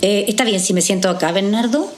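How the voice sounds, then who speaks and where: An actress playing a skeptical, resolute woman doctor in an audio drama, speaking in a measured, probing way. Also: medium pitch, slight background noise, close to an everyday voice. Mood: gentle, concerned.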